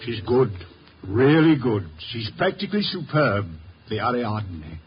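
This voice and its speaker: A man's voice: mid-range voice, sing-song, unhurried, soft background music. An actor playing a lofty, theatrical middle-aged sculptor and protective father in a 1950s radio drama, cultured and grandiloquent. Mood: rapturous, lofty. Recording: muffled 1950s radio, faint noise.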